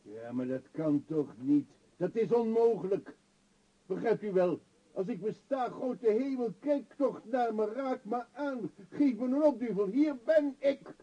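A man's voice: sits high, expressive, measured pace, dark tone, slightly rough, heavily acted. An actor in a radio play voicing a destitute middle-aged male vagabond, stubborn and pleading in a theatrical way. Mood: desperate insistence. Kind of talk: radio drama acting. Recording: dull old radio play recording.

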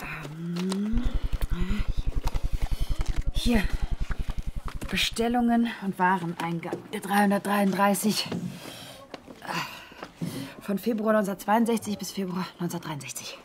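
Actress as a brisk, determined young reporter. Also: female voice, medium pitch, noisy background, close to an everyday voice. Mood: secretive, determined. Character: resourceful, determined young woman journalist in 1960s Cairo. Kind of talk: German radio drama acting.

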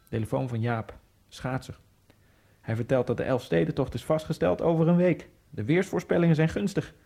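A man's voice: mid-range voice, vocal fry, warm tone, husky. An actor playing a lonely immigrant man obsessed with speed skating, narrating his thoughts in a wry, brooding radio-drama monologue. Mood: wry musing. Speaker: first-person narrator of a radio play.

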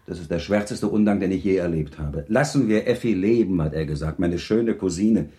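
An actor playing a courteous, affectionate middle-aged baron in a radio play, gentlemanly and gently probing in manner. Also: male voice, low-pitched, a little fast, natural voice. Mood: wry, probing. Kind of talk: German radio play acting.

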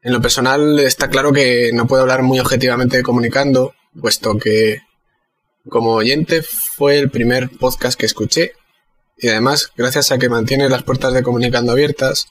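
A man's voice: medium pitch, fairly bright tone. Chatty man sending a congratulations message. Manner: chatty, self-aware rambling. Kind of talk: casual recorded message.

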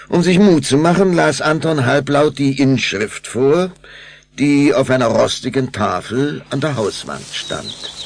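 A man's voice: mid-range voice, expressive, measured pace, creaky. A man narrating a children's radio play as a theatrical, suspenseful storyteller. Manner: suspenseful, theatrical, shifting to whispers.

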